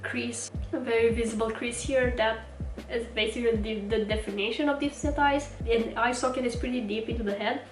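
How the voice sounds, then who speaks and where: A woman's voice: on the high side, fairly even intonation, fairly quick. Cheerful woman with a stuffy cold. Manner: chatty, talks while working at a mirror. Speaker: makeup tutorial host. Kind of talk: casual step-by-step makeup tutorial. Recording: roomy, mic at a distance, music underneath. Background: background music.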